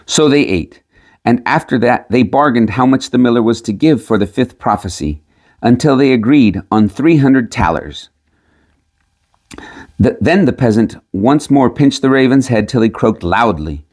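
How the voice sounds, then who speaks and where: A man's voice: medium pitch, lively intonation, moderate pace, darkish tone. A man reading a storybook tale aloud as scripted narration. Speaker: audiobook reader.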